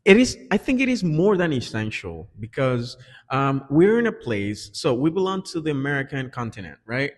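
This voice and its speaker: A man's voice: mid-range voice, big pitch swings, normal speed. A man with a Dominican Spanish accent, a native Spanish speaker, giving earnest conversational interview answers as an English teacher. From Dominican Republic. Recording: echoey reverberant room.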